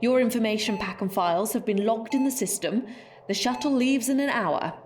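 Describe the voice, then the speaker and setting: Woman acting a brisk, unflappable spy chief. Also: fairly high pitch, a little mellow, lightly acted. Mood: unruffled. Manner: formal, dryly authoritative. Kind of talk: sci-fi audio drama dialogue.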